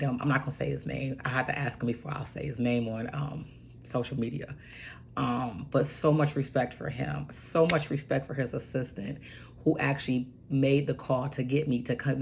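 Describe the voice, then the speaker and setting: A hoarse woman in her forties with a raspy voice, rambling through a casual story with lots of fillers and "right?" asides. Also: rumbling low, darkish tone, slight background noise. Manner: rambling, goes off on tangents with many fillers; emphatic, keeps asking "right?". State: hoarse from bronchitis. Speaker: registered nurse.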